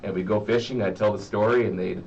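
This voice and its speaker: A middle-aged American man talking reflectively as an interview guest, halting and repeating words as he searches for the next one. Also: lowish voice, steady tone, darkish tone. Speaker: interview guest, horror story writer. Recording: muffled, distant mic with faint noise.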